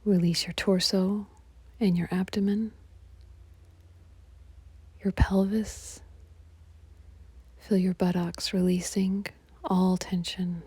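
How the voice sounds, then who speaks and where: Female yoga nidra guide: soft half-whisper, mellow tone, close dry sound, calming delivery. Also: mid-range voice, slow pace, gravelly, pauses often, slightly rough, no room sound. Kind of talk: guided relaxation meditation.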